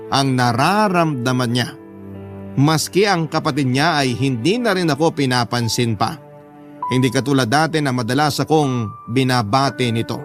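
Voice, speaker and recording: A man reading a dramatic scripted story as a storyteller. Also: on the high side. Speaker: storyteller reading a listener's letter. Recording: music behind the voice. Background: background music.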